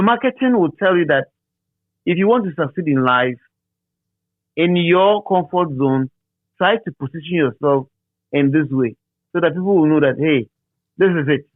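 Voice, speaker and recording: A man's voice: on the high side, expressive, normal speed, pauses often, no echo. Ghanaian man on a thin phone line. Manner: repeats and restates phrases for emphasis. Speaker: interviewee, radio DJ. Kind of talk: casual reminiscing interview.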